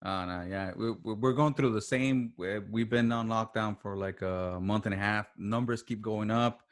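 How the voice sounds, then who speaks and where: A man chatting casually as a podcast guest, speaking haltingly and repeating words as he searches for his phrasing. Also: lowish voice. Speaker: podcast guest, writer and voice actor. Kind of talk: casual conversation.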